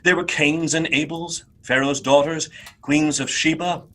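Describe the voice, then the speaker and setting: A man narrating a radio play as a dramatic storyteller, with theatrical, expressive and deliberate phrasing. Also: a little high.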